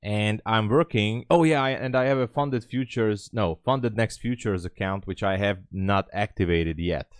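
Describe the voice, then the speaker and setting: A man's voice: fairly low pitch, normal speed, few pauses, clear, resonant tone, dry recording, studio quality. A man with a Hungarian accent chats casually on a livestream, rambling and thinking aloud. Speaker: live trading streamer.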